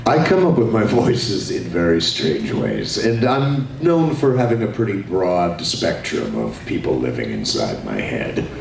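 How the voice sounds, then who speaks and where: A middle-aged man speaks on a convention panel, his pitch swinging widely as he jokes and drops into character voices. He is heard from a distance in a large echoing hall, with steady noise behind him. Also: unhurried, creaky, few pauses. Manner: animated, jokey, slips into character voices. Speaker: voice actor panelist. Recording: echoey hall, far from mic, noisy.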